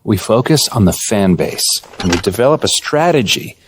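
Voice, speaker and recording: A man playing an earnest, data-minded basketball executive in a video game, speaking in a measured corporate way. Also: lowish voice, expressive, a little hiss or hum, lightly acted. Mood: serious. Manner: measured, businesslike, corporate jargon. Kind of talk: conversational video game cutscene acting.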